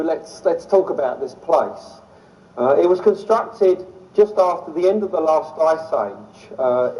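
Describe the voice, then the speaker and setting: A man from London with a London accent, giving an illustrated lecture in an enthusiastic manner. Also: on the high side. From London, England. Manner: enthusiastic, easily excited. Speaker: lecturer on ancient sites. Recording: voice distant from the mic.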